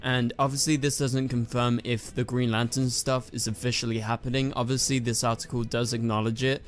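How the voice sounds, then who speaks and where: A young man hosting a channel, talking through entertainment news casually in a hoarse, croaky voice that is going, with rambling asides and fillers. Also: mid-range voice, flat delivery, brisk. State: hoarse, losing his voice. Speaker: channel host.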